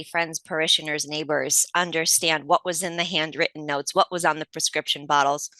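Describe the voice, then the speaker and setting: Low, gravelly woman, bright and crisp. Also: no room sound, rough recording. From Poland. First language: Polish. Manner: measured, articulate explanations. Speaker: patient advocate guest. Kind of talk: interview answers.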